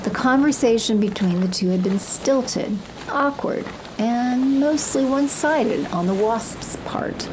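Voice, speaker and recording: A woman's voice: fairly high pitch, expressive, slow pace, hardly any pauses, background noise. A woman delivering scripted dramatic narration as the narrator of an audio story.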